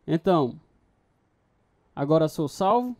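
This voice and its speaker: A man's voice: a little high, animated, slow pace. An actor playing an earnest male new convert, telling his story in a Brazilian radio drama. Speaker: narrator and lead actor. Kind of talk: scripted radio drama narration and dialogue.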